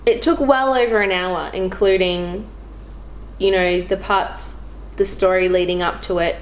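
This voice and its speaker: A woman's voice: lowish voice, steady tone, measured pace. A young woman chatting casually about video games as a guest on a show. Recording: clearly noisy background.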